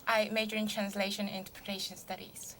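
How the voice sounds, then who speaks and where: A woman's voice: mid-range voice, little pitch movement, fast, a bit bright, a little hiss or hum. Young woman giving short polite answers. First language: Cantonese. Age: 20s. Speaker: interviewee, model. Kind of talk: casual interview answers.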